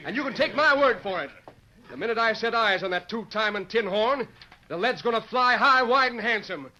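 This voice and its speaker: An actor playing a boastful, scornful cowboy with theatrical frontier bluster, on a dull old radio recording. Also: male voice, sits high, animated, a little fast, bright, forceful tone, exaggerated voice. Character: boastful, scornful cowboy promising to shoot his rival. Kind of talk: old-time radio western acting.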